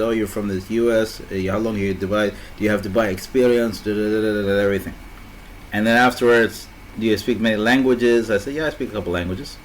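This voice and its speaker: A man's voice: lowish voice, a bit bright, slight background noise. A young man from South Sudan telling stories in casual chat, hesitant with many fillers.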